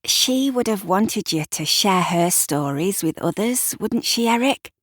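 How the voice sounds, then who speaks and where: A narrator acts a soft-hearted woman friend in an audio story. She coaxes and pleads gently but persistently, close and dry on the recording. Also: mid-range voice, lively intonation, measured pace, no room sound, voice pushed up. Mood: gentle.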